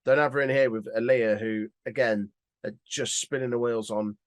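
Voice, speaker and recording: Bloke co-hosting a podcast, rambly casual opinions, some swearing, ordinary pitch, no room echo. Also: male voice, steady tone, moderate pace. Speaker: podcast co-host.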